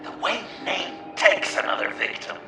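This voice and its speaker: An actor playing a manic, theatrical game villain who taunts the hero with macabre mockery, moving between hushed menace and gleeful shouts. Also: male voice, moderate pace, natural voice. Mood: gleeful mocking. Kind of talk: video game villain voice acting. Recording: echoey, distant, with loud music behind. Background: game music.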